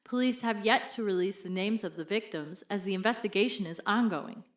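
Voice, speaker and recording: A female TV news anchor played by an actress with a mid-pitched voice. She reads in a composed, formal bulletin style for an audio drama. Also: fairly quick, lightly acted. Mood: composed, grave.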